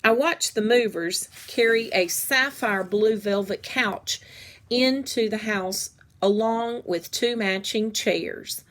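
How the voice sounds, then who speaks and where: Unhurried woman reading a story aloud. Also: mid-range voice, a bit bright. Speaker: reader reading a children's book aloud. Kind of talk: read-aloud story narration.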